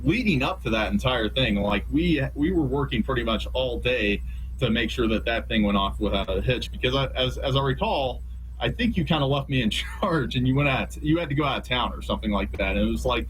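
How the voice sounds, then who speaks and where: An American man chatting casually as an interview guest, reminiscing in a rambling, halting way with repeated words. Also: mid-range voice, a little hiss or hum, low-quality recording. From St. Louis region, USA. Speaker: interview guest, radio professional.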